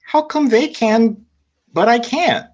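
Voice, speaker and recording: A woman's voice: fairly high pitch, lively intonation, slow, darkish tone. A woman playing a curious, innocent four-year-old girl in a comedy table read. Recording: muffled lo-fi online call audio.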